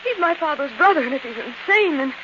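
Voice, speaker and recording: An actress playing an anxious, disbelieving young heiress in a 1940s radio melodrama, fretful and dramatic. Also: female voice, high voice, lively intonation, quick pace. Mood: worried. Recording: muffled, noisy 1940s radio recording.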